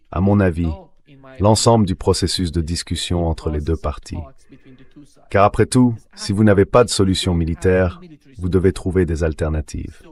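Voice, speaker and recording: A man reading a translated voice-over of political analysis in a measured, explanatory way. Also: deep voice, a little mellow, close to an everyday voice.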